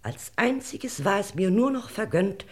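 Husky, frightened lady witness. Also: medium pitch, big pitch swings, unhurried. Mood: distraught. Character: frightened, emotional lady witness recounting a murder night. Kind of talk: German radio crime play acting.

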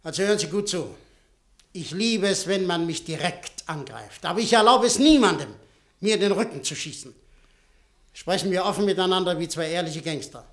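A powerful businessman in a radio drama, in a high-pitched male voice. He is direct, forceful and sardonic, with no patience for games. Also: expressive, normal speed. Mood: impatient, blunt. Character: blunt, impatient, powerful businessman confronting a blackmailer. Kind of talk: German radio play acting.

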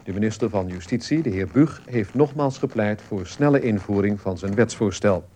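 An actor playing a serious male radio newsreader, formal and measured, delivering a scripted bulletin. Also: on the low side, mellow, a little hiss or hum, close to an everyday voice.

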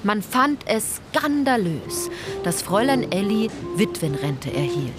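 Female radio play narrator, sober, morally pointed, mid-pitched, strong music behind. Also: big pitch swings, measured pace. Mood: sober, reproachful. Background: prominent music.

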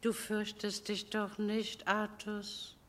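An actress playing a cool, manipulative genteel sister in a radio drama, speaking with haughty, precise irony. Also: female voice, mid-range voice, completely flat delivery, slow pace, fairly bright tone. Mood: coaxing, menacing. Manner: haughty, coolly ironic, precise. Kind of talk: German radio drama acting.

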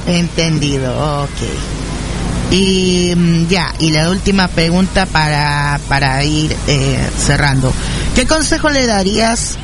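High-pitched, youthful man hosting, noisy recording. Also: animated. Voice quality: youthful, childlike voice. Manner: halting, hesitant, repeats and rephrases himself. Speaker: radio show host. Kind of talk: informal fan radio interview.

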